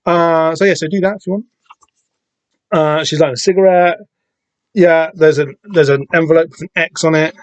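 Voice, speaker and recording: A man's voice: fairly high pitch, steady tone, moderate pace, pauses often, clean tone, no echo. A man hosting a solo comic book podcast, rambling and digressive with lots of fillers and self-corrections. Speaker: podcast host. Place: home room with door open on a summer day.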